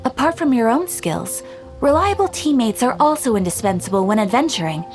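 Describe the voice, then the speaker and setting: A woman's voice: a little high, sing-song, brisk, a little mellow, soft background music. A woman voicing a polite young guild receptionist who speaks in a courteous, formal way. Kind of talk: game voice acting.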